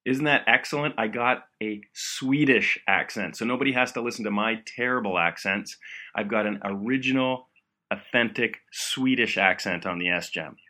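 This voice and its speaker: A man's voice: lowish voice, normal speed, pushed and bright. A man hosting a medical education podcast, explaining with upbeat enthusiasm. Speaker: podcast host.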